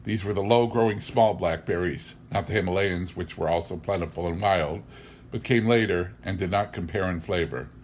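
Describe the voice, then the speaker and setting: A man with a fairly low voice reads a humorous memoir aloud. His delivery is scripted and measured, with a wry storyteller's turn to the phrasing. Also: a little mellow, a little hiss or hum. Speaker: audiobook reader.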